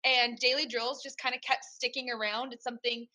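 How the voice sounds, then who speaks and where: Bright, eager young woman telling her story. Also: fairly high pitch, little pitch movement, a little fast. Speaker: guest, business co-founder. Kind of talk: casual interview storytelling.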